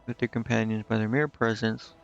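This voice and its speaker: A man streaming a video game, casually thinking out loud and reading menu text to himself, with some offhand swearing. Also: fairly low pitch, flat delivery, a little fast, creaky, muffled recording, soft background music. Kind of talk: casual live gameplay commentary, muttering and reading menu text aloud.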